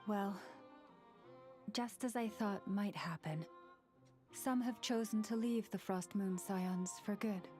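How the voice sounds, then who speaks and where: A woman's voice: mid-range voice, natural voice. Woman voicing a serene antlered priestess. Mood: gentle. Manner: calm, measured, formal. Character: serene antlered female priestess, gentle and solemn. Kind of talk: video game dialogue. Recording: game audio with faint music behind.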